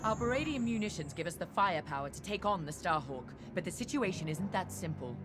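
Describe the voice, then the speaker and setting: A woman playing a steely, ruthless imperial officer giving a mission briefing in a video game, crisp and authoritative. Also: lowish voice, lively intonation, fairly quick, music in the background, lightly acted. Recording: faint ambient noise behind.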